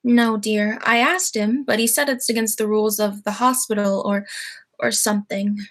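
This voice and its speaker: A young woman acting a gentle, dainty elderly aunt in a high school radio play, mild and genteel. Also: fairly even intonation, normal speed, lightly acted. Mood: kindly.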